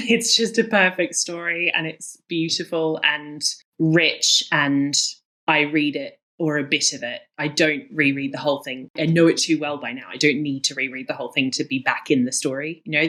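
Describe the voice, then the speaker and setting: A woman's voice: sits low, sharp tone. A woman in her thirties speaking as an interview guest, enthusiastic and emphatic, often repeating words for emphasis. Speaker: guest, cookbook author.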